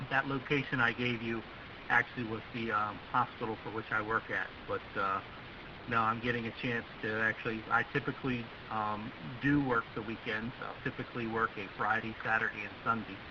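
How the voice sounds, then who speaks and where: A man's voice: on the low side, steady tone, moderate pace. An American man chatting casually and wordily as an amateur radio operator, explaining things at length. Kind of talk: casual ham radio chat. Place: radio voice link, heard from the far end. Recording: hissy, muffled internet radio link.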